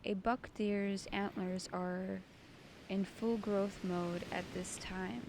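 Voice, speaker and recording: A woman leading a guided meditation as its host, giving soothing, deliberate instructions in short phrases. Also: medium pitch, steady tone, noisy background.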